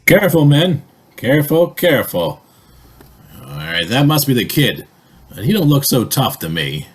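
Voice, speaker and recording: Man playing a husky, gruff police sergeant. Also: medium pitch, big pitch swings, unhurried. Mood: gruff, wary. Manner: barking, blunt, colloquial. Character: gruff, bossy police sergeant, tough and plain-spoken. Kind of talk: amateur old-time radio comedy acting.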